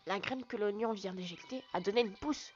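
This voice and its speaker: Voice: medium pitch, brisk, lo-fi sound, slight background noise, lightly acted. A young player reading a ship's computer. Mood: informative. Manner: reads on-screen game text aloud plainly. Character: ship's computer voice from a video game.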